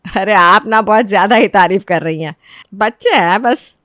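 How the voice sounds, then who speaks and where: A woman's voice: mid-range voice, normal speed, fairly bright tone, no echo, clean studio sound, natural voice. Woman acting a matronly middle-aged mother-in-law. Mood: modest.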